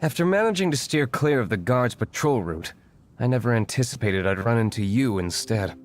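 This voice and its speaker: A man's voice: medium pitch, animated, normal speed, natural voice. A man playing an aloof, refined vigilante in a video game, formal, dry and disdainful. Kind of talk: video game character acting. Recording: plucked harp music behind the voice.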